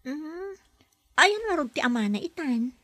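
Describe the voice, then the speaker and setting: A woman's voice: high voice, very animated, a little slow, sharp tone, no echo, heavily acted. A woman as a defiant young nanny. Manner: firm, pushes back politely.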